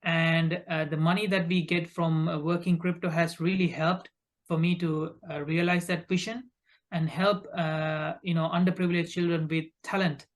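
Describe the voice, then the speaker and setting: A man from Sri Lanka answers interview questions conversationally, often halting and repeating words mid-phrase. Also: fairly high pitch, level tone. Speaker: podcast guest, recruitment company founder.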